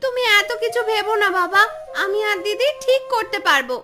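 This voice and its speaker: Woman voicing very high-pitched caring village girl. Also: lively intonation, brisk, bright, forceful tone, exaggerated voice. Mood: reassuring. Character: two young village sisters, caring, one anxious, one calm. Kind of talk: children's fairy-tale cartoon dubbing. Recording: music behind the voice.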